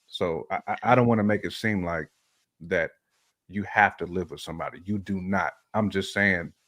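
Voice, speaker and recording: An American man chatting casually about relationships as a show host, telling stories in animated, slangy language. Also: sits low, normal speed. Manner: animated storytelling with slang and hypothetical examples.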